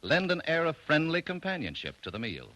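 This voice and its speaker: A man's voice: a little high, huge pitch swings, brisk, sharp tone, poor audio. A man announcing and selling for a sponsor in 1940s radio style, polished and emphatic. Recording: vintage radio broadcast.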